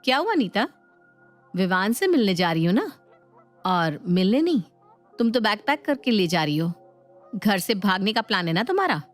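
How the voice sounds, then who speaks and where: A woman's voice: medium pitch, very animated, moderate pace, pauses often, faint music behind, natural voice. A woman voicing a stern elder sister-in-law, confronting and lecturing in a dramatized moral story.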